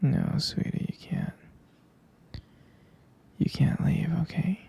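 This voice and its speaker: A man voicing an obsessive, possessive young boyfriend who keeps his partner captive, talking in a soft, coaxing whisper close to the mic. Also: slow, lightly acted. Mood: sweetly obsessive. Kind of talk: whispered ASMR roleplay.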